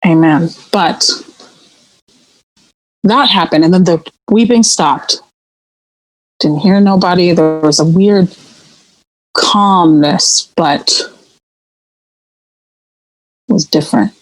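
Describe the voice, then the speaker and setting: A woman's voice: lowish voice, slow, pauses often, no echo, poor audio. An actress playing a spooked, God-fearing churchgoing woman in an audio play, telling of a haunting in folksy, emphatic talk with repeated phrases. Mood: shaken, haunted. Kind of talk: audio play acting, conversational storytelling.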